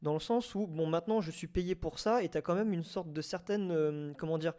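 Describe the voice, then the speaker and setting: Higher-pitched Frenchman searching for words. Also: warm tone. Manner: halting, word-searching, repeats himself. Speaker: panelist in a debate. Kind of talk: casual debate talk about audio fiction.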